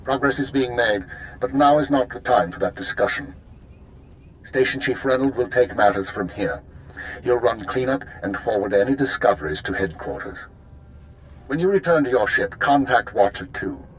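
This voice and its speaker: An actor as an authoritative intelligence chief in a sci-fi game. He has a medium-pitched voice and a terse, controlled delivery. There is clear ambient noise behind him. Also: expressive, normal speed, close to an everyday voice, rough recording. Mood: businesslike. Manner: formal, commanding, clipped. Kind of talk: video game voice acting.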